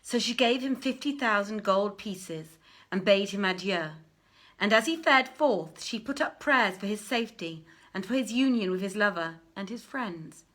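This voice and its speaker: A woman reading an old literary tale aloud as narrator, in a measured, expressive, declaiming manner. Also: medium pitch. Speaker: narrator, reader. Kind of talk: dramatic literary storytelling in archaic English.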